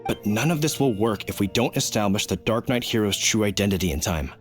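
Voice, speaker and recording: A man's voice: lowish voice, lively intonation, brisk, faint music behind, lightly acted. An actor playing an earnest, dutiful knight in a video game, formal and explanatory in his manner. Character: earnest, dutiful male city knight, stiff and official. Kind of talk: video game character acting.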